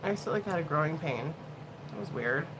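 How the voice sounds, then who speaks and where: A woman in her thirties chats loosely as she tries out makeup, wandering between thoughts and dropping casual swears. Her pitch sits in a middle range, and a steady hiss of background noise runs under the whole recording. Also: fairly quick. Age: 30s. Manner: chatty, rambling, swears freely. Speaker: beauty livestream host. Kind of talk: casual chat while doing makeup.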